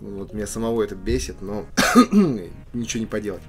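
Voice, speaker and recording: A man's voice: on the low side, steady tone, a little fast. A man with a bad cold hosting a casual let's play in a jokey, slangy way. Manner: jokey, playful, loose slangy talk. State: sick with a cold, coughing. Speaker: gaming video host. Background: game music and tank engine sounds.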